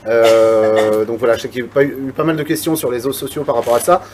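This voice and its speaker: Event organizer guy, halting, explains stuff, faint background noise. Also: mid-range voice, flat delivery, darkish tone. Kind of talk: press conference remarks.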